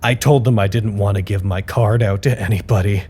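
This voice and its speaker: An actor playing a fussy, anxious, paranoid office worker giving spoken reports in an audio drama, stiffly formal but easily exasperated. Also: male voice, fairly low pitch, brisk, slightly rough. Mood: awkward, puzzled. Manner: stiffly formal deadpan narration that swings into exasperated outbursts.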